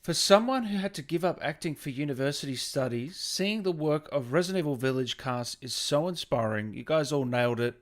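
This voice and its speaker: A man's voice: fairly high pitch, expressive, a little fast, hardly any pauses. A man hosting a casual interview chat and putting questions to his guest. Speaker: interview host.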